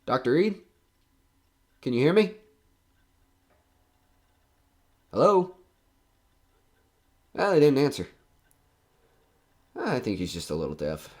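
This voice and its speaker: A man playing a nervous radio reporter who is coming unravelled in a horror audio drama, talking in a halting, rambling way. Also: medium pitch, huge pitch swings. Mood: uneasy chatter.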